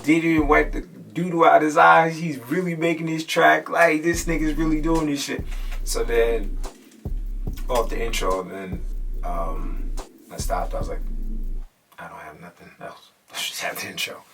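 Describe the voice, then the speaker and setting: A man's voice: on the high side, unhurried, gravelly, recorded from a distance, rough recording. A young man casually telling how he made his own rap track, loose and profane, acting out what he said at the time and sometimes dropping to a whisper. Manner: loose and profane, acts out his own past remarks, drops to a whisper at times. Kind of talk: casual storytelling. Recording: voice from off-mic with music playing behind.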